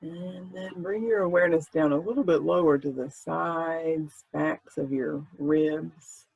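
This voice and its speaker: Slow, gravelly man guiding meditation. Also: fairly high pitch, steady tone, dry recording, highs cut off. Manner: gentle, informal, unhurried phrasing. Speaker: meditation guide. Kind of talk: guided meditation, conversational instruction.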